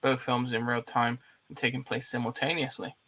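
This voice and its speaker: A man's voice: medium pitch, flat delivery, normal speed, pushed and bright. A man hosting a podcast in a laid-back, blokey, casual way. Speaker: podcast host. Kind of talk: casual chat.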